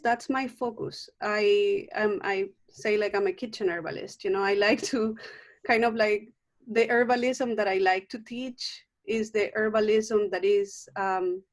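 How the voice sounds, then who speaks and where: A middle-aged woman from Chile with a Chilean Spanish accent, a native Spanish speaker, talking earnestly as an herbalist guest in a video call interview, often restarting her sentences. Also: mid-range voice. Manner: warm, earnest, frequent restarts and fillers. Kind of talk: casual interview conversation.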